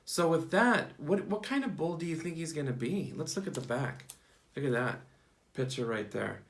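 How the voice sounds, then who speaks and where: Male early-years teacher, slightly high voice, lively intonation, reads a picture book and prompts listeners with questions and praise. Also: moderate pace, vocal fry, a little hiss or hum. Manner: asks questions, praises and repeats answers in short phrases. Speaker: teacher reading a picture book to young children. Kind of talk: interactive storybook read-aloud.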